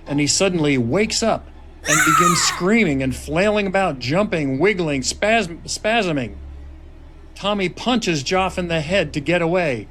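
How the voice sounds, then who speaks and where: A man reading stage directions as scripted dramatic narration at a screenplay table read. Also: on the high side. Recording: noisy table-read room recording.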